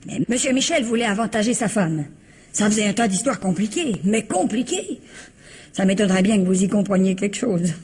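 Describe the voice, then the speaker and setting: An actress playing a plain-spoken, proud older household cook in a radio mystery, husky, rambling and naively blunt. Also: female voice, medium pitch, animated, a little fast, a little mellow. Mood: blunt, confiding. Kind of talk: French radio mystery drama acting.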